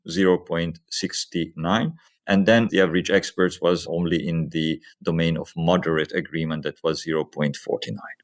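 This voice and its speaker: A man being interviewed as a medical researcher, explaining his work methodically, step by step. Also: sits low.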